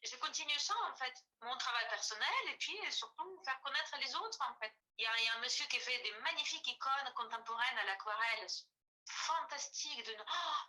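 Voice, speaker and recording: A Ukrainian woman in her forties, a native Ukrainian speaker, talks on a video call in a very bright, fairly high voice. She keeps hesitating, with many fillers and restarts. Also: fairly quick, rough recording. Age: 40s. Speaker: artist guest. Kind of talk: casual interview.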